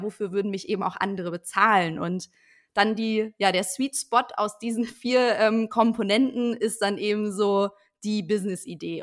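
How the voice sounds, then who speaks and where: A woman's voice: medium pitch, a bit bright. A woman in her late twenties, a guest on a podcast, explaining her business in a chatty way with frequent fillers. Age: 20s. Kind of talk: casual interview chat.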